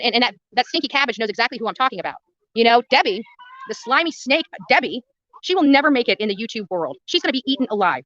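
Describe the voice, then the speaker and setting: A woman's voice: high voice, pushed and bright, lo-fi sound. An American woman ranting to livestream viewers in a blunt, combative way, repeating phrases for emphasis. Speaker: livestream host and protest activist.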